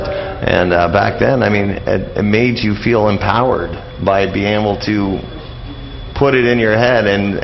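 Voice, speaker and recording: An older man speaking as a guest in a casual radio interview, in a halting, rambling way with frequent hesitations. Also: lowish voice. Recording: dull lo-fi radio interview audio.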